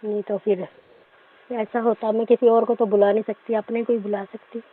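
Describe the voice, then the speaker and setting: A woman playing a coy, inviting stepmother, speaking softly and casually in short replies over a phone line. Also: a little high, flat delivery, moderate pace, natural voice. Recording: tinny narrow-band phone line.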